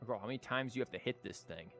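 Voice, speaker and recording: A man gives casual live commentary as he plays a game. His voice is mid-pitched, and he talks in slangy, sweary, joking bursts. Music plays faintly in the background. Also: racing, creaky, bright, forceful tone.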